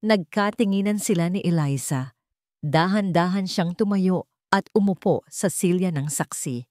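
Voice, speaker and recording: Woman, story narrator, slightly low pitch, scripted drama, measured suspenseful phrasing, dry studio-like sound. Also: darkish tone, dry recording.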